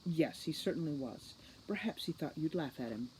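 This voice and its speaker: A woman's voice: low voice, lively intonation, moderate pace, darkish tone, slight background noise, low-quality recording. A woman reading a storybook as a formal, condescending young boy mastermind who lectures impatiently. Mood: stern, impatient. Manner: formal, lecturing, precise diction.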